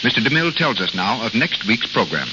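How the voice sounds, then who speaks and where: A man's voice: on the low side, very animated, brisk. Man announcing on muffled old radio. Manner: formal, list-reading delivery. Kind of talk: 1930s radio announcing, scripted. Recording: muffled, hissy vintage radio recording.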